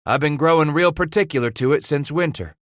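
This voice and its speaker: A man's voice: a little high, fairly quick, a bit bright, no room sound, studio quality, voice pushed up, natural voice. A man reading aloud, voicing an easygoing male trapper with a wistful drawl.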